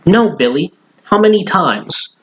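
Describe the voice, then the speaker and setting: A man's voice: high-pitched, lively intonation, unhurried, fairly bright tone, pitched above the performer's own voice. A man voicing a firm, sensible, exasperated mother who scolds matter-of-factly in a storybook reading. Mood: scolding.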